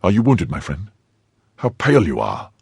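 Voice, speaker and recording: Man acting phlegmatic gentleman musketeer, deep, terse, measured, commanding, audiobook character. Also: wildly swooping pitch, vocal fry, breathy edge, no echo, heavily acted. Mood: concerned.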